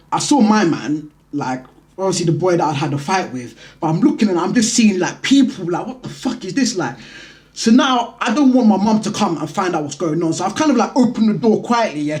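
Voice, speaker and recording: A man with a London accent hosting a vlog, telling a personal story in a casual, slangy, conversational way. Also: high voice. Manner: conversational, slangy, animated retelling. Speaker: vlog host.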